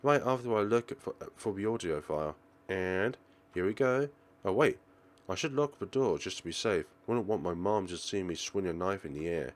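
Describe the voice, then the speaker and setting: Low-voiced man with swooping, theatrical delivery. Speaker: let's player reading a visual novel aloud. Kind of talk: game commentary with dramatic read-aloud narration.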